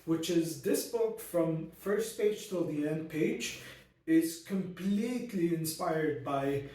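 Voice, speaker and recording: A man's voice: a little high, animated, slow, mellow, far from the mic. A man giving a casual, ranty book review, full of fillers and restarts. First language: not Arabic; Arabic learned as second language. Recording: very echoey room, voice from off mic.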